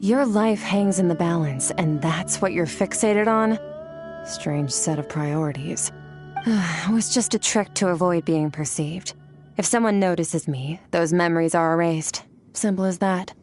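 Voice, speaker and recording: A woman playing an aloof, powerful warrior in a video game, cool, deadpan and dismissive. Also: fairly low pitch, measured pace, natural voice. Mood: disdainful. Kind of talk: restrained video game dialogue. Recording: music behind the voice.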